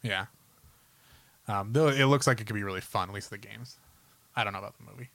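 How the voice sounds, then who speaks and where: A man's voice: on the low side, fast, creaky, a bit bright. A man speaking as a podcast co-host in casual, enthusiastic, slangy chat about films and games.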